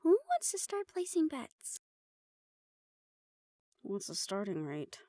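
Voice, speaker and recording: A woman's voice: fairly high pitch, huge pitch swings, takes it slowly, warm tone, no echo, heavily acted. A woman playing a playful, sarcastic and tired young team member in a fantasy audio drama, talking casually. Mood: teasing. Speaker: voice actor in an audio roleplay. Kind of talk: fantasy audio drama roleplay, conversational acting.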